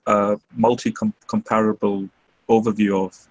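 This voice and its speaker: A man's voice: on the low side, flat delivery, unhurried, breathy edge, no room sound, poor audio. An Australian man who took part in a debate, talking modestly over voice chat with many restarts. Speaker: debate participant. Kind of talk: casual voice-chat discussion. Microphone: online voice chat.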